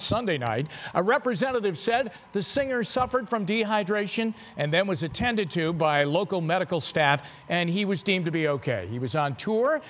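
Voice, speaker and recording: A man's voice: high voice, a bit bright. A man with an American accent reading scripted news as a TV anchor in a studio.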